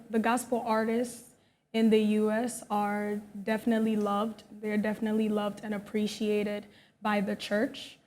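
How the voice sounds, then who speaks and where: A US-born woman answering as a gospel singer on a studio panel. Her voice is mellow and flat in pitch, and she speaks in a measured, steady way. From United States. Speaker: gospel musician guest.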